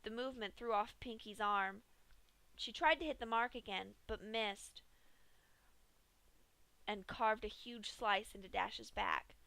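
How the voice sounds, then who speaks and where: A woman reading a dark fanfic aloud in a steady storytelling manner. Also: mid-range voice, a little slow, creaky, long pauses, no room sound, rough recording. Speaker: fanfiction reader.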